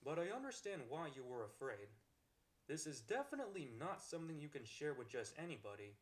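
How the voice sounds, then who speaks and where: A man voicing a kind-hearted, affectionate boyfriend in an ASMR roleplay, gentle, earnest and reassuring. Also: a little high, very animated, brisk. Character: kind-hearted, accepting, affectionate young boyfriend comforting his partner. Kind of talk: ASMR romantic roleplay, one-sided acted dialogue.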